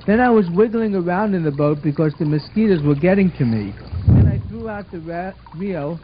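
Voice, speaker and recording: Man as twelve-year-old boy, puppet show, earnest, explanatory, raised pitch, muffled recording with noise. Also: animated, normal speed. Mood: affectionate. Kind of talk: scripted puppet show dialogue.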